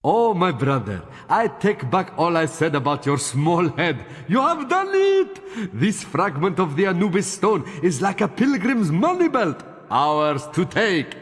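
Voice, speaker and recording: An actor playing an excitable, gleeful, greedy undead brother in a video game. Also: male voice, high-pitched, measured pace. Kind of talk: video game cutscene acting. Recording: long cavernous reverb.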